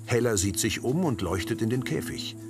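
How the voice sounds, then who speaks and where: A man reading scripted audio description of scenes in a measured way. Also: medium pitch, lively intonation, over music.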